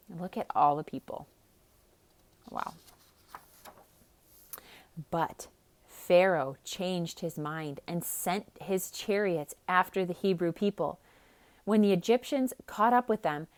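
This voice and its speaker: A woman storyteller reading a picture book aloud for children in an expressive, dramatic style. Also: medium pitch. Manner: expressive, dramatic, with hushed emphasis. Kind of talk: theatrical read-aloud narration with casual asides.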